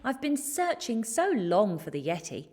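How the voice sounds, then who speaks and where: A woman's voice: mid-range voice, very animated, moderate pace, a little mellow, slightly rough, voice pushed up. A woman voicing an eager, plucky young boy explorer in a children's storybook, earnest and polite. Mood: excited.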